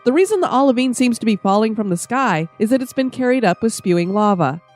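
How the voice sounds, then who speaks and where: A woman's voice: on the high side, expressive, fast talker, darkish tone, soft background music. A middle-aged woman with a stuffy summer cold reads her scripted podcast narration as host.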